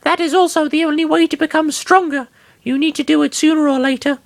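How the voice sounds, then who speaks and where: Shrill falsetto as a solemn beast-warrior. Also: male voice, fairly even intonation, fairly quick, creaky, dry recording. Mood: lecturing. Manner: grave, lecturing speech in stiff broken phrasing. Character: proud, solemn male jackal-like fighter creature. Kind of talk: comic dramatic reading of fan fiction.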